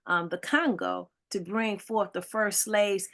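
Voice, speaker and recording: A woman's voice: mid-range voice, fairly even intonation, slow, creaky, a bit bright. A woman, guest and foundation director, explaining history in an interview with frequent ums and tag questions. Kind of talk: interview answers.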